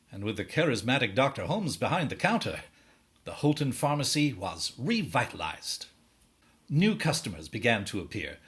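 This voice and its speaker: A man playing a wry storyteller, narrating a period musical in formal, articulate theatrical prose with a husky voice. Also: medium pitch, fairly bright tone, heavily acted.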